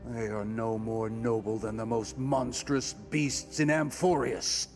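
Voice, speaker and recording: A man's voice: mid-range voice, fairly even intonation, takes it slowly, darkish tone, faint music behind, natural voice. An actor playing a grim, resolute warrior king in a video game drama, speaking with solemn, declamatory weight. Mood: grimly resolved.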